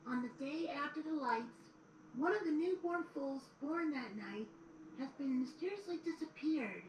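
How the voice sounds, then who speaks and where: A woman's voice: sits high, animated, normal speed. A woman playing a young boy dragon assistant who reads history aloud in an audio drama. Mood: earnest storytelling. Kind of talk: audio drama storybook narration. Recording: roomy, distant, noisy replayed audio.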